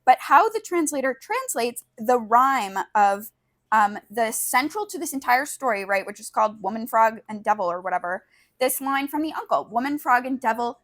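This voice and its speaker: Casting: female podcast co-host, fairly high register, very animated intonation, cheeky and irreverent in casual talk. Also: normal speed. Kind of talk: casual chat.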